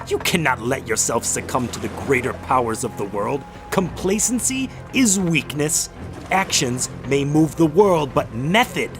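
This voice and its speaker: An actor playing a stern male monster hunter in a radio drama, preaching with pompous, dramatic delivery. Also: mid-range voice. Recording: prominent music bed.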